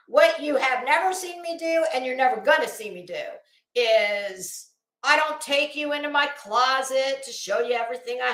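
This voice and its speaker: Female livestream host, unhurried and high in pitch, emphatic, halting and foul-mouthed, casual delivery. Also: bright, forceful tone. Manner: blunt, sweary, emphatic. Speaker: host of a live commentary channel. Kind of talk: casual livestream rant, chatting to viewers. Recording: echoey, roomy sound.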